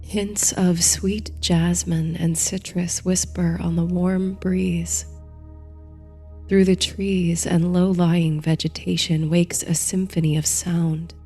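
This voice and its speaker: A woman narrating a guided bedtime meditation in a soothing, gentle, hushed manner, reading from a script. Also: on the low side, flat delivery, slow, husky. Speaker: host and narrator of a sleep story series. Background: soft music.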